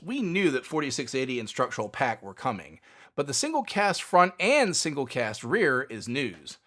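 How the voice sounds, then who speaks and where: A man's voice: mid-range voice, fairly bright tone. A man hosting a podcast, reading fluent, opinionated scripted commentary on company news. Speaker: podcast host.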